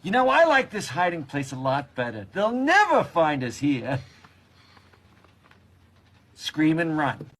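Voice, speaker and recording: A man voicing a jittery, talkative cartoon character hiding from danger, with an animated delivery. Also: fairly high pitch, slow, pauses often, full character voice. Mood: nervous bravado.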